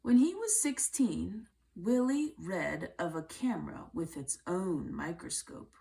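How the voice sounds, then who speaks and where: A woman reading a children's book aloud in a measured way, with deliberate phrasing and pauses. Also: on the low side, lively intonation, gravelly, dark tone. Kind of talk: read-aloud storybook narration.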